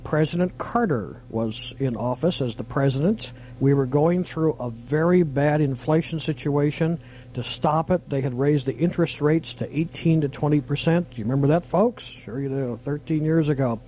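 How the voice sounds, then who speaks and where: Mellow, higher-pitched American man on noisy tape. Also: moderate pace. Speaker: radio broadcaster, co-host. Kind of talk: casual on-air reminiscing. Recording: noisy old aircheck tape with hum.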